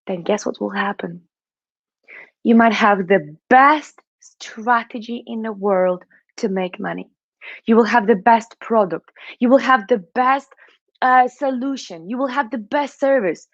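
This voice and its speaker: A woman's voice: a little high, no room sound, highs cut off. A woman with a Russian accent, a money mindset coach giving a motivational talk, putting questions to the listener and checking in with "right?" and "okay?".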